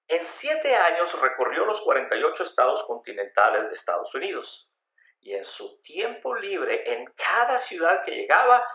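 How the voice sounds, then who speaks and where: A man delivers dramatic scripted radio narration with theatrical emphasis. His pitch moves in lively swings, and his tone is bright and forceful. The voice carries a clear roomy echo.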